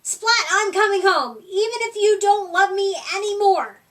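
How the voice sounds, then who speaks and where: A woman's voice: very high-pitched, measured pace, fairly bright tone, voice pushed up. A woman voicing a timid small male mouse from a picture book, with a plaintive, wavering delivery. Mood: upset. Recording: voice from far off the mic.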